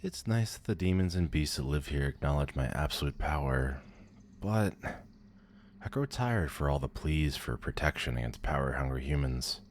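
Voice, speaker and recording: A man's voice: rumbling low, lively intonation, normal speed, slight background noise. A man playing a powerful demon lord in an ASMR audio roleplay, pompous, condescending and theatrical. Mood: weary disdain. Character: haughty, powerful male demon lord, bored and amused.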